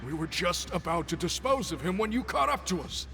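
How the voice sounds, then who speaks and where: A man's voice: sits high, expressive, a little fast, gravelly, a bit bright, faint music behind, exaggerated voice. A man voicing a nervous, flustered gang henchman in a dramatic video game. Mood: nervous, confessing.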